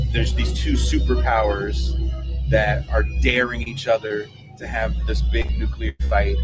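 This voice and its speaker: Male podcast host, booming lowish voice, emphatic opinionated talk, prominent music in the background. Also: low-quality recording. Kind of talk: casual discussion and opinion. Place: bar. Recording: loud music behind the voice. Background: loud music.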